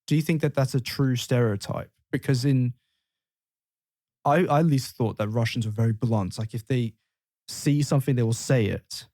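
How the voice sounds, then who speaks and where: A man's voice: medium pitch, moderate pace, warm tone, dry recording. A man hosting a podcast, chatting casually, laughing easily and asking his guests questions. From England. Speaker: podcast host. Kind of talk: casual discussion.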